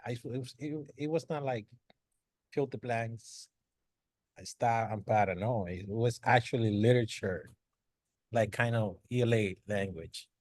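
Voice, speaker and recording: A man from the Dominican Republic sharing his experience in a discussion, halting, with many restarts. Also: medium pitch, no echo. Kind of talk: discussion contribution.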